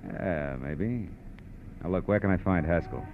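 An actor playing a hard-boiled, wisecracking American private detective, brusque and clipped, in 1940s radio drama style. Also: male voice, sits low, measured pace, a little hiss or hum. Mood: guarded, suspicious. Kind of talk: 1940s radio detective drama acting with first-person narration. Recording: muffled vintage radio recording with music.

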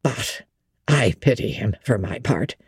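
A woman's voice: a bass voice, lively intonation, unhurried, slightly rough, no room sound, clean studio sound, voice pushed down, full character voice. A woman voicing an old male country servant, who tells a grim tale in old-fashioned dialect. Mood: grave. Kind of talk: dramatic audiobook reading.

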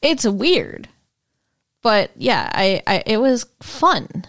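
A woman's voice: a little high, drawn-out words. A woman co-hosting a podcast, chatting frankly and casually about a movie. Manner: relaxed, brief reactions, frank. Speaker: podcast co-host. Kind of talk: casual movie review chat.

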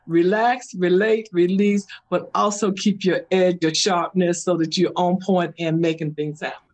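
A middle-aged woman, telling stories as a meditation guide in an interview, and she laughs often and slips into high-pitched voices when she quotes someone. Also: steady tone, normal speed, darkish tone. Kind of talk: animated conversational storytelling.

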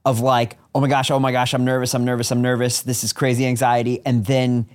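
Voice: medium pitch, little pitch movement, a little mellow, clean tone. A podcast co-host in easygoing conversation, throwing out leading questions and short agreements and laughing now and then. The voice sits in a middle range. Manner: quick interjections, asks leading questions, laughs. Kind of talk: casual chat.

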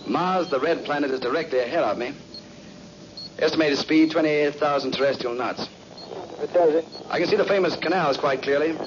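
An actor playing a steady, businesslike astronaut making clipped reports in a 1960s radio drama. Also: male voice, fairly high pitch, moderate pace, long pauses, close to an everyday voice. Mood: resolute. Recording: dull, noisy old radio recording.

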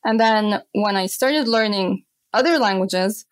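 A woman's voice: mid-range voice, unhurried, dry recording. A young woman talks as an interview guest. She is thoughtful and reflective and drops in "you know" as she goes. Her voice sits in a middle register and sounds dry and close to the mic. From Austria. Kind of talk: conversational interview.

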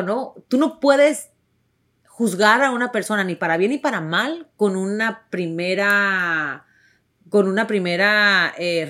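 A young woman from Tijuana with a northern Mexican accent, telling her story to viewers in a chatty, emphatic way full of fillers. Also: medium pitch. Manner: chatty, warm and emphatic, many fillers and restarts. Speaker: actress.

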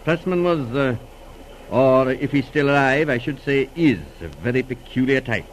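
An actor playing an earnest, courteous doctor in a 1940s radio drama, speaking in a measured, slightly hesitant way. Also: male voice, medium pitch, natural voice. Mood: grave, confiding. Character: earnest, courteous male physician confiding a mystery. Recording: noisy old radio recording.

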